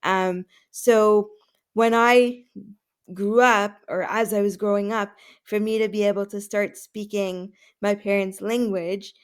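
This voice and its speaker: A woman whose first language is Russian, answering podcast interview questions earnestly, with many fillers and restarts. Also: mid-range voice. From Canada. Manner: earnest, with frequent fillers and self-corrections.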